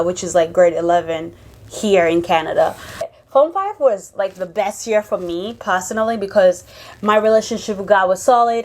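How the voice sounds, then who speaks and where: A young woman from Uganda, not a native English speaker, telling a personal story to camera in a casual, animated way with many fillers. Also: medium pitch. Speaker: vlogger.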